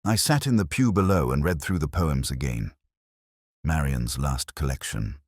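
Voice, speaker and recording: Very deep-voiced brooding male narrator. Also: lively intonation, darkish tone, slightly rough, no echo, studio quality. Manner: measured, brooding, somber delivery. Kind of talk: first-person noir detective narration.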